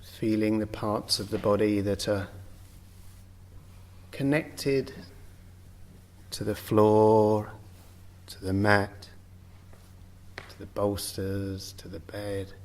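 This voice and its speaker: A man leading a guided yoga nidra meditation, speaking gently in short phrases with long, unhurried pauses. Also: fairly low pitch, fairly even intonation. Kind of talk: guided meditation, slow spoken relaxation instruction.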